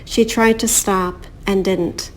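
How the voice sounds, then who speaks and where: A woman poet reading her own poems in a measured, deliberate way. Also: medium pitch, steady tone, background noise. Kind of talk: poetry reading.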